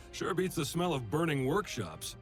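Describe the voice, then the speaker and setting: Cocky young genius inventor from a game, higher voice, bouncy delivery, faint music. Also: male voice, very animated, a little slow. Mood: confident, playful. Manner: confident, wry, quippy. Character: cocky, witty young male inventor hero. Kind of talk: game voice acting.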